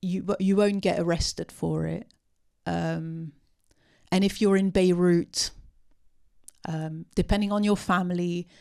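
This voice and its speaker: A woman with a low, gravelly voice talks through a post-film question. She pauses often and restarts her sentences as she thinks aloud. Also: fairly even intonation, a little mellow. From part Syrian. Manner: hesitant, many ums and restarts. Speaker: panelist at a post-film discussion. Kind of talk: unscripted talk answering audience questions.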